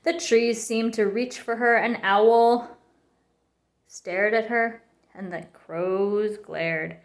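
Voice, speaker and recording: A woman reading a children's picture book aloud with expressive narration. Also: medium pitch, slow. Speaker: storybook reader.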